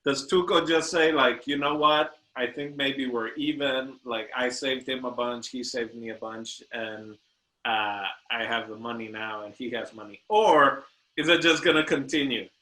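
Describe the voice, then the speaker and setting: A man's voice: medium pitch, normal speed, bright, forceful tone, poor audio. A man co-hosting a casual movie review chat, rambling with many restarts and fillers. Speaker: podcast co-host.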